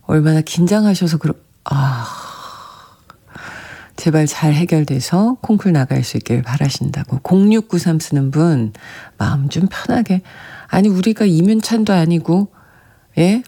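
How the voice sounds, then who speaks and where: A radio host with a soft voice that sometimes drops to a breathy whisper, chatting gently and reading listener messages on a classical music show. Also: medium pitch. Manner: gentle, chatty, often laughing softly.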